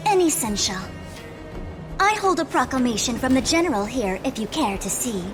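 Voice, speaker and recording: High-voiced haughty diviner. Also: female voice, sing-song, normal speed, over music. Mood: stiff, authoritative. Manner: archaic, pompous, formal phrasing. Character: haughty, formal young female master diviner. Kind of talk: scripted video game cutscene acting.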